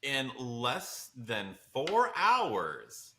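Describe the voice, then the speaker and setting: Guy co-hosting a podcast, super bright sharp voice, really animated, casual chat. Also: mid-range voice, extremely slow, a little hiss or hum. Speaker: podcast co-host.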